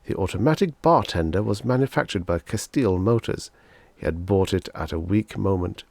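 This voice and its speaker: Low, gravelly male audiobook narrator. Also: lively intonation, normal speed, a little mellow, dry recording. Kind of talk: scripted story narration.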